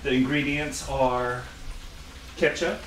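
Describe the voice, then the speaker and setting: A man's voice: medium pitch, slow. A man casually narrating his home cooking in short, playful, offhand phrases. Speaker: home cooking vlog host. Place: home kitchen, indoors. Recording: roomy, distant mic, loud kitchen noise. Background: frying, dishes and cutlery clatter.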